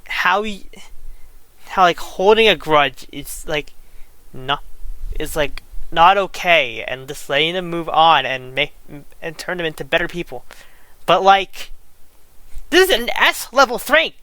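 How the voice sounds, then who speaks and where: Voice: medium pitch, unhurried, bright, forceful tone, clear, resonant tone, no room sound, rough recording. An online creator of unclear sex delivering an unscripted, exasperated rant, often restarting and repeating phrases. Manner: indignant, exasperated. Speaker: online video creator venting grievances.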